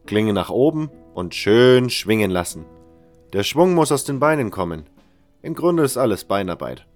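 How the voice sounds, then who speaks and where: A man's voice: on the low side, close to an everyday voice. Man voicing a dry, seasoned sword mentor. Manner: instructive, dry, slightly condescending. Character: seasoned male fighter, patient, mocking sword mentor. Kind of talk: fan audio drama character reading.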